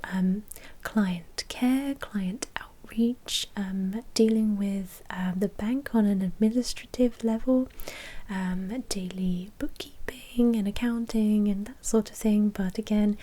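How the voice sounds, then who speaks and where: Whispering woman in a soft ASMR roleplay. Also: mid-range voice. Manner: soft, hesitant, matter-of-fact whispering. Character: female vampire blood bank manager, polite and businesslike.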